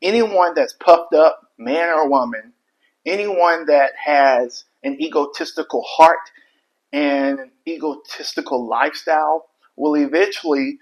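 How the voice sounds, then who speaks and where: A man giving preachy commentary in a deliberate, emphatic, moralizing way, with broken phrases. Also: on the high side, poor audio. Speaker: online commentator, life coach.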